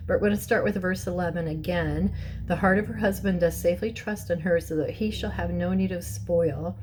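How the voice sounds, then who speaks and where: Middle-aged woman, hoarse and raspy, low mellow tone, talks through a casual Bible study. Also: fairly quick, slight background noise, rough recording. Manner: warm, chatty, with restarts and soft laughs. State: hoarse from bronchitis, clearing her throat.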